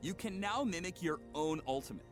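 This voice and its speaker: A man's voice: sits high, lively intonation, a little fast. A man narrating a game trailer with an upbeat, polished announcer delivery. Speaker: promo narrator. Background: game music.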